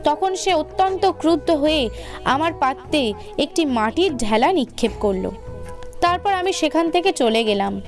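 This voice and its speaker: A woman reading a scripted religious tale as a storyteller, in a formal, measured way. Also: high voice, lively intonation. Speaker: devotional storyteller. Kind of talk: scripted religious narration for cartoon video. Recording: music under the voice. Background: background music.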